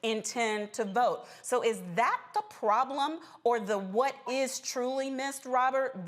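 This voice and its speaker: An American woman leading a political panel discussion with emphatic, pointed delivery. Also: on the high side. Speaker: panel host.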